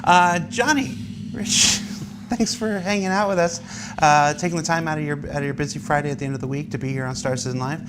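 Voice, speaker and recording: A man hosting an unscripted live show, speaking in a halting way with emphatic repetition. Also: a little high. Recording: noisy streamed show audio.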